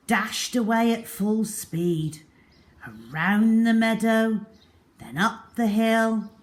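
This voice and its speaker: A woman storyteller reads for young children with theatrical care. Her pace is very slow and her pitch moves in lively swoops. Phrases often settle into a hush at the end. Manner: theatrical, deliberate, with hushed phrase endings.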